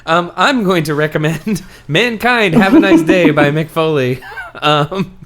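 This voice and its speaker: A man's voice: on the high side, sing-song, unhurried, a bit bright. A man hosting a podcast in a casual, informal chatting style, with some easy swearing. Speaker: podcast host.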